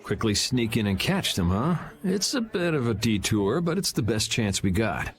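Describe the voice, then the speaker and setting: An American actor playing a cool, wry, self-assured young hero in an anime game dub, speaking in a dry, sardonic way. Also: male voice, lowish voice, very animated, moderate pace. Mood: wry, determined.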